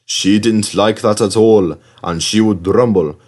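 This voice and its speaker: A man voicing a kindly, grateful old steam engine gently reminiscing in a storybook. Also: on the low side, unhurried.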